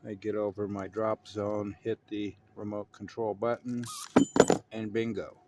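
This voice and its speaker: A man's voice: on the low side, flat delivery, a little slow, highs cut off, very noisy. Self-assured man with folksy, slangy talk. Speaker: amateur radio hobbyist presenting his own video. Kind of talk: casual video narration.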